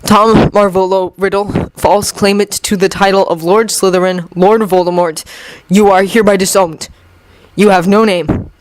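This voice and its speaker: A narrator voicing a brave teenage boy wizard, declaiming slowly and formally in an audiobook. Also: medium pitch, steady tone, dry recording, natural voice, poor audio. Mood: strained, resolute.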